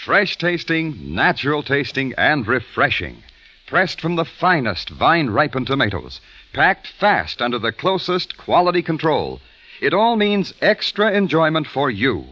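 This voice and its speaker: A man's voice: on the high side, big pitch swings, a little slow, bright, forceful tone, no echo. A male announcer reading a hearty, salesy ad script. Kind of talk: scripted ad read. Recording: dull, dry old radio recording.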